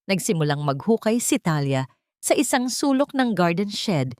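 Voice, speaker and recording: A woman's voice: on the low side, big pitch swings, moderate pace, a little mellow, no echo, clean studio sound. A woman reading a dramatic scripted story as an audiobook narrator.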